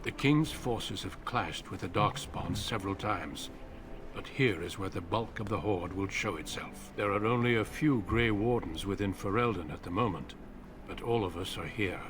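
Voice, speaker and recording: An actor playing a grave veteran commander of warriors in a video game, briefing in a measured, solemn way. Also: male voice, fairly low pitch, lightly acted. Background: game music.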